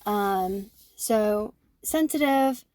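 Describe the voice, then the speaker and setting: A woman in her forties, co-hosting a podcast, talking through her own thoughts in an animated way and often checking in with "right?". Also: mid-range voice, drawn-out words. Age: 40s. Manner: animated, self-reflective, fond of tag questions like "right?". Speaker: podcast co-host. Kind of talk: casual conversation.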